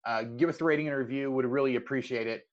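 A man's voice: medium pitch, steady tone, rapid-fire. A man hosting a sports podcast, talking casually in an interview. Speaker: podcast host. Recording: slightly dull, lo-fi audio.

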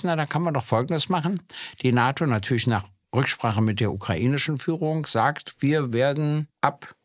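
A man's voice: mid-range voice, no room sound, studio quality. An older man, debating politics in a conversational way, explaining step by step with small hesitations. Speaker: podcast co-host, politician. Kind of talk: political discussion.

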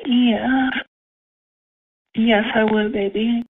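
A woman speaking in a breathy, flirtatious come-on, heard over the phone. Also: fairly high pitch, steady tone, very slow. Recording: tinny phone line.

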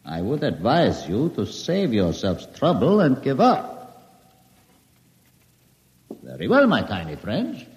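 A menacing male villain from an old radio play, gloating with mock courtesy. His pitch swoops widely as he takes his time over each phrase, in a long echoing reverb. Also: wildly swooping pitch, slow, long pauses, a little mellow. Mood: smug menace. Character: menacing, gloating male captor toying with tiny prisoners. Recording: reverberant, dull vintage radio recording.

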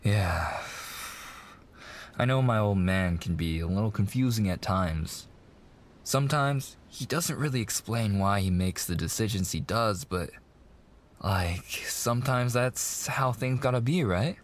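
Man acting a low-voiced young mobster fiancé, sweet and coaxing. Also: animated, measured pace. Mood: earnest. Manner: coaxing, hesitant, slangy gangster talk. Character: young mafia boss's son, eager, smooth-talking, sweet fiancé. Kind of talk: boyfriend ASMR roleplay, intimate monologue.

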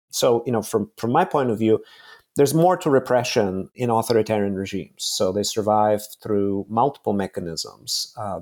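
A man's voice: on the low side, a little mellow. A man, answering interview questions in careful, reflective academic phrasing. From Bulgaria. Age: late 40s. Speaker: podcast guest, political science professor.

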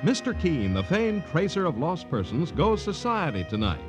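An American man announcing in formal, theatrical, measured old network radio style, reading scripted credits and promos. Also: a little high, sing-song. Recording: old radio broadcast over loud music.

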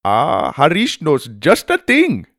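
Man voicing a cheerful, emphatic elephant rider, pitched up. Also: big pitch swings, unhurried, creaky, dry recording, clean studio sound, exaggerated voice. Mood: delighted. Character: cheerful proud Indian man, elephant rider, brisk scolding. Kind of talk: children's audiobook character voice.

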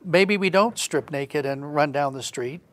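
A man's voice: a little high, measured pace, fairly bright tone. A man giving earnest, reflective explanations as a guest in a conversational interview. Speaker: guest, audio drama writer.

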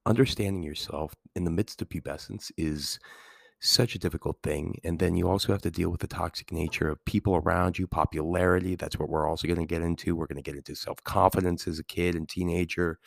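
A man with a very low, calming voice and a gravelly edge talks through his podcast in a loose, rambling way, full of fillers and restarts. He is getting over a cold. The sound is close and dry, with no room in it. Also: brisk, dry recording. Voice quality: calming, soothing voice. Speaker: podcast host and teacher. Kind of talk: casual solo podcast talk.

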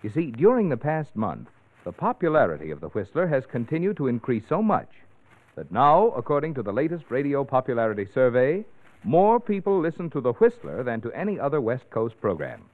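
Lively male vintage radio announcer. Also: mid-range voice, brisk. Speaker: cast spokesman delivering sponsor message. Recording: tinny old radio, narrow band.

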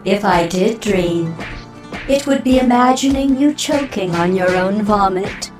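A woman's voice: medium pitch, lively intonation, measured pace, over music, lightly acted. A woman playing a venomous, scornful character in a radio adventure drama, theatrical and biting.

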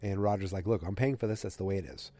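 A man's voice: low-pitched, fast, warm tone. A man with a cold, recapping a TV episode on his show in a rambling, self-correcting way. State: has a cold. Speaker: podcast host recapping a TV episode. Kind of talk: casual solo recap.

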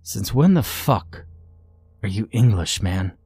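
A man's voice: fairly low pitch, lively intonation, takes it slowly, a little mellow, husky, no room sound, exaggerated voice. A man voicing a bewildered, indignant male captive in a horror story, blunt and slangy. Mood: alarmed disbelief.

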